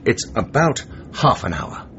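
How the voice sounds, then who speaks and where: An actor playing a smug Soviet assassin who poses as a chummy English gentleman, taunting in clipped, mock-genteel slang in a radio drama. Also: mid-range voice, very animated, a little slow. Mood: smugly taunting. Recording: noisy, muffled radio broadcast recording.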